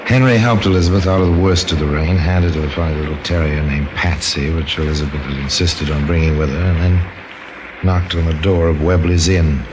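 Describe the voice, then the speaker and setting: A man hosting and narrating an old-time radio mystery, wry and deliberate, with a macabre relish. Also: very deep voice, steady tone, very noisy. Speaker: narrator and host.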